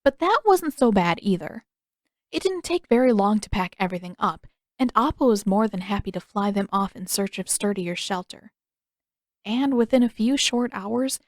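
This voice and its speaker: Female audiobook narrator, mid-pitched, conversational and expressive, very dry recording. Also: no room sound. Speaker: fan fiction author and audiobook narrator. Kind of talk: scripted story narration.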